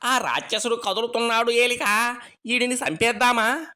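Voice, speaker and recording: A woman's voice: fairly high pitch, normal speed, sharp tone. A woman doing panicky little dwarf folk. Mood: anxious. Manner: rustic dialect, fussy chanted refrain.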